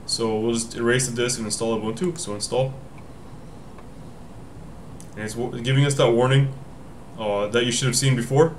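A man's voice: medium pitch, steady tone, normal speed, long pauses, a little hiss or hum. A young man hosting a casual tech tutorial from a home room, thinking aloud, often correcting himself as he explains the steps. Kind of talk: casual screen-recorded walkthrough.

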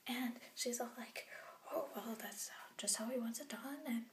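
A young woman voices a bubbly young orphan girl confiding to a camera late at night, her words coming out in a hushed, rambling whisper. Her voice sits fairly high and bounces in giddy bursts. The room rings around her, with a faint hiss under it. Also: moderate pace, roomy sound, natural voice. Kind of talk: scripted web series vlog monologue.

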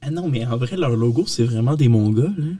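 A woman's voice: rumbling low, animated, brisk, mellow, distant mic. A woman with a Quebec French accent chatting casually and slangily as a podcast panelist in a small studio. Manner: informal, slangy. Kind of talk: casual chat. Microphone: studio microphone on boom arm.

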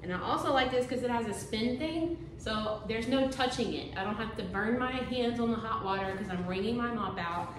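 A woman's voice: fairly high pitch, moderate pace, hardly any pauses, roomy sound, background noise. A woman, chatting casually to camera about cleaning in her living room. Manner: chatty, homey, fillers like "like" and "um". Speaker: cleaning vlogger. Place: carpeted-free living room of a house, indoors.

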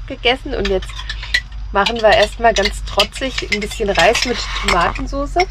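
A woman's voice: mid-range voice, moderate pace. A woman chatting casually in a travel vlog, wry and teasing, talking in a camper van. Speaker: camping vlogger. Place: inside a camper van, in the car earlier. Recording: noisy car and camper sound.

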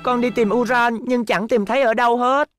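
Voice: medium pitch, steady tone, normal speed, no room sound, clean studio sound, soft background music, natural voice. An actor voicing an earnest, polite young robot boy hero with a boyish manner in a cartoon dub.

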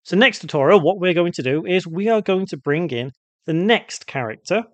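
A man narrating an unscripted software tutorial, casually thinking aloud as he demonstrates each step. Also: high voice, expressive, normal speed, no room sound. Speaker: tutorial presenter.

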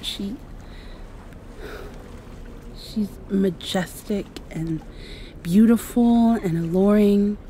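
A woman's voice: mid-range voice, very slow, long pauses, slight background noise, rough recording. A woman playing a shy, insecure half-mosquito vampire girl in an ASMR roleplay, hesitant and confiding. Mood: proud, tender. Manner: hesitant, soft-spoken, confiding.

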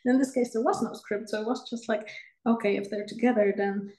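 A middle-aged woman speaking at an even middle pitch over a video call, measured and explanatory as she walks through her filmmaking. The sound is roomy, with the echo of a home room around her. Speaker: film director.

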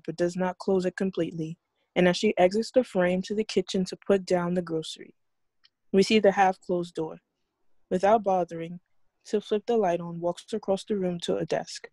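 A woman's voice: fairly low pitch, warm tone, no echo. A woman reading screenplay action lines aloud over a video call. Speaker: reader of stage directions.

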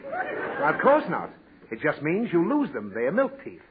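A man's voice: on the high side, huge pitch swings, normal speed, a loud crowd. An actor playing a sentimental, easily flustered father who lectures his daughter in a 1940s radio comedy. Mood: fussy. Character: patient, fussy father of a naughty girl. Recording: tinny old radio broadcast with faint hiss.

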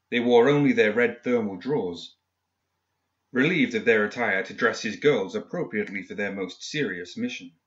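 Male story reader, lower-pitched voice, audiobook narration of dark fantasy, muffled lo-fi sound.

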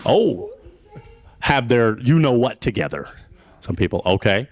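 A man's voice: mid-range voice, big pitch swings, slight background noise. Showy young male game show host. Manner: showy, teasing, drawn-out delivery. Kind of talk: playful game show hosting. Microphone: handheld mic.

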